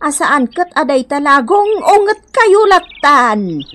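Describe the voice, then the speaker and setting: Scolding ilocano village mom in radio drama, high voice, slow and drawn out, birds behind. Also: female voice, sing-song, fairly bright tone, heavily acted. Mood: reproachful.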